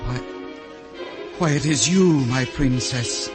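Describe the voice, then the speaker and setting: An actor playing a gallant, tender storybook prince with formal, romantic speech, in a 1950s radio play. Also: male voice, medium pitch, slow, close to an everyday voice. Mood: tender, solemn. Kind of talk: 1950s radio fairy-tale acting. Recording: vintage radio recording, music behind.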